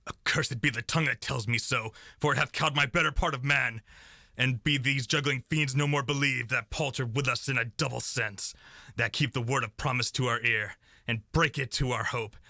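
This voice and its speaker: A man's voice: mid-range voice, steady tone, normal speed, pushed and bright, no room sound, studio quality. A young man playing a defiant, doomed warrior king in a Shakespearean radio play, delivering verse in a declamatory way. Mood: shaken, bitter. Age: young adult. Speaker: student actor.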